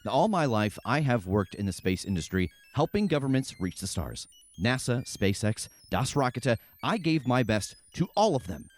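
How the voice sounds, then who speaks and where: An actor playing an eager, stubborn, sweet-toothed scientist in a comedic audio drama. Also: male voice, mid-range voice, lightly acted.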